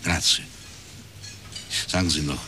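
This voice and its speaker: A man's voice: rumbling low, fairly even intonation, measured pace, background noise. An actor in his forties playing a wry, world-weary East German private detective in a radio crime drama, talking in a laconic, ironic way. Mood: casual, probing. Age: 40s. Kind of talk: scripted radio crime drama narration and dialogue.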